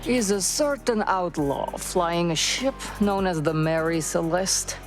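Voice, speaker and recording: Menacing female faction leader for a game cutscene; low register, cold deliberate command, light music underneath. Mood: cold, smug. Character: vengeful, menacing woman leading a criminal organization. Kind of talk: scripted video game cutscene acting.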